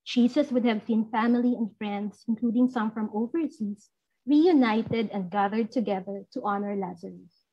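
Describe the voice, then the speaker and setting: A woman from the Philippines with a Filipino accent and husky voice, reading a scripted presentation over lo-fi call audio. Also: on the high side, moderate pace. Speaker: panel presenter. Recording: dull lo-fi video call audio.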